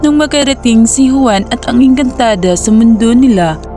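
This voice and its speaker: Mellow woman narrating a storybook cartoon. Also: on the high side, normal speed, soft background music. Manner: plain, steady storytelling.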